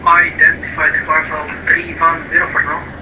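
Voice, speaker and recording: Flat-voiced man on a noisy radio. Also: a little high, moderate pace, echoey room, recorded from a distance, dull audio. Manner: clipped, flat procedural delivery. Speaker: air traffic controller. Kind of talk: radio phraseology.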